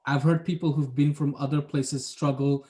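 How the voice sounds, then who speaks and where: A man's voice: on the high side, little pitch movement, moderate pace, mellow. A young adult man from Bangladesh, talking about his planning job in a casual interview, reflective and hedging with many fillers. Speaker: urban planner, guest.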